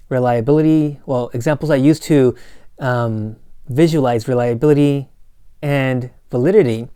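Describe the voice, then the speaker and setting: Male lecturer, slightly hoarse, mellow and smooth, casual with corny asides, dry close mic. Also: medium pitch, no echo. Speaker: college instructor. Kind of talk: recorded lecture.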